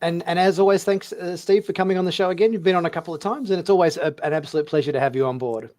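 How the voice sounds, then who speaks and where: A man with a somewhat high voice hosts a casual live show over a call. He speaks politely, with a halting delivery. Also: darkish tone. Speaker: host. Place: remote video call.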